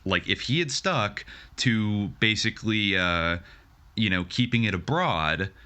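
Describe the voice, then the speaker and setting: Deep, bright-voiced American man hosting. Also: expressive, measured pace, clear, resonant tone. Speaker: podcast host. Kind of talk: casual podcast discussion.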